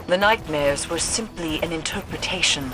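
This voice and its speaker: A woman's voice: deep voice, normal speed, noisy background, natural voice. An actress playing a grave, ominous mystic woman in a video game, speaking in a formal, cryptic, solemn manner. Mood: solemn, scornful. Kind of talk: dramatic video game acting. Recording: music behind the voice.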